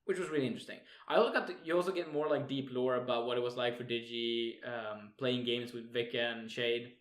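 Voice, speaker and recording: A man's voice: medium pitch, fairly even intonation. Young male co-host, Swedish accent, casual podcast banter, giggly, profane, hesitant. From Sweden. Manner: laughs often, swears casually, halting with fillers. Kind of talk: casual chat.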